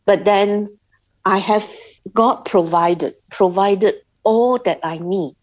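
A woman from Singapore telling her personal faith story as an interview guest, speaking haltingly with many pauses and restarts. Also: medium pitch, darkish tone. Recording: slightly dull lo-fi sound.

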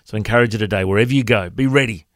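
A man's voice: on the low side, rapid-fire, fairly bright tone, dry recording, clean studio sound. An Australian man, a pastor and radio presenter with a big voice, telling a story in a conversational devotional talk.

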